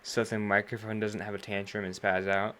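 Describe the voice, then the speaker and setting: A young man with a fairly low voice chats in an easygoing way and laughs loudly and often. He talks close to a home streaming microphone. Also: little pitch movement, a little fast, slight background noise. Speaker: podcast co-host. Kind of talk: casual chat.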